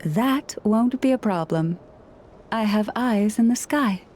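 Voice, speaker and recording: A woman voicing a calm, composed young woman who guides others with the help of animal helpers, in a video game cutscene. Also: mid-range voice, slow, a little hiss or hum. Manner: calm and reassuring.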